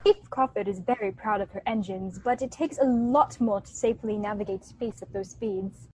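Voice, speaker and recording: A woman's voice: medium pitch, moderate pace, noisy background. A female student actor reading and redoing lines for a radio play.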